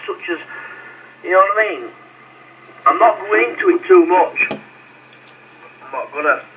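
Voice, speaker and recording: A man on a CB radio channel, arguing bluntly and swearing as he insists on his point. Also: on the high side. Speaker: CB radio operator and channel host. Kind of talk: argumentative radio chat. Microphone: CB radio handset. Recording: lo-fi CB radio transmission with static. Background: radio static hiss.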